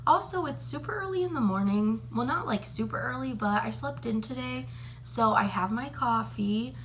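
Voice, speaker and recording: A woman, chatting casually to camera in a slightly raspy morning voice, full of ums and likes. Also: a little high, normal speed, a little hiss or hum. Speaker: vlogger.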